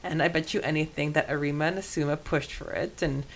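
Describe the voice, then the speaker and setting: A woman's voice: deep voice, steady tone, quick pace. A woman co-hosting a podcast, talking in a chatty, informal way about a book. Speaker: podcast co-host. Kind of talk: casual book discussion chat.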